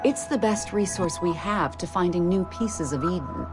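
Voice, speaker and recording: A woman's voice: on the low side, moderate pace, close to an everyday voice. An actress playing a cool, unyielding female corporate superior in a video game, speaking in a curt, formal, authoritative way. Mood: cool authority. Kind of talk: scripted video game dialogue. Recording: music behind. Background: music.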